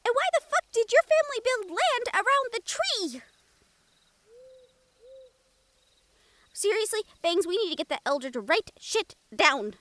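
Sarcastic teasing gal, played by a woman, high voice swinging wildly up and down. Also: big pitch swings, moderate pace, fairly bright tone, a little hiss or hum, heavily acted. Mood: baffled. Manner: teasing and wry. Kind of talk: audio roleplay acting.

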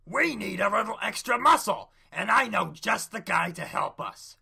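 A man voicing a brash, hot-headed crocodile gang leader with a gravelly, bossy, blustering delivery for a cartoon. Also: high-pitched, animated, normal speed, bright, forceful tone, voice pushed up, full character voice. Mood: gruff, matter-of-fact.

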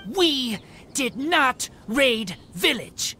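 A woman voicing a terse teenage boy raised by wolves in a fantasy game, in halting, blunt, broken sentences. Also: high voice, sing-song, over loud music, heavily acted. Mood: grim, defiant.

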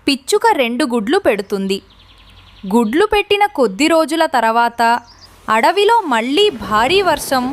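A woman narrating a scripted children's moral story as the storyteller. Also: high voice.

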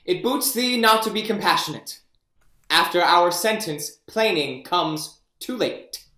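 A man's voice: high-pitched, lively intonation, unhurried, bright, forceful tone, heavily acted. A man playing a proud young king passing judgment, speaking Shakespeare's verse in a regal, stately way over a webcam. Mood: stern. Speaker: actor. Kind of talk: staged Shakespeare reading. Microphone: webcam on a video call. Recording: echoey room.

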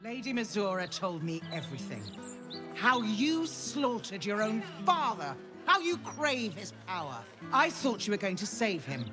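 A woman's voice: medium pitch, sing-song, a little slow, music in the background. A woman playing an emotional, accusing character in a video game cutscene. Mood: bitter, accusing. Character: accusing woman confronting a father's killer.

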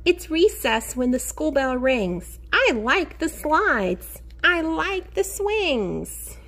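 A woman teacher reading a picture book aloud to young children in an expressive sing-song way, encouraging them and asking them questions. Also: high voice. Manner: expressive, sing-song, drawn-out key words; encouraging, addresses children directly.

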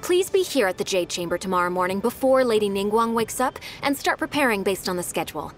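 A woman's voice: medium pitch, very animated, fast, soft background music, lightly acted. A woman voicing a polite, efficient young secretary in a game, courteous and encouraging. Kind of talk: game dialogue.

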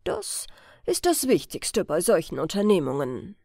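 A woman reading the words of a vain, self-assured gentleman criminal in an emphatic, lecturing way for an audiobook. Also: on the low side, huge pitch swings, unhurried, gravelly, no echo, clean studio sound, voice pushed down, exaggerated voice.

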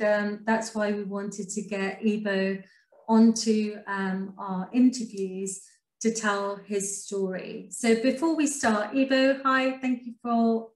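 A woman's voice: medium pitch, roomy sound, rough recording. Chatty woman host on a video call. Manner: friendly, chatty, uses many ums. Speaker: interviewer host. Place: indoor room.

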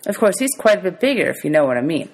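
A woman voicing a kindly, teasing man in a storybook, animated and wordy, given to exaggeration. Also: fairly low pitch, very fast, low-quality recording. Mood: amazed. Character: grown man, teasing, exasperated, kindly motorist.

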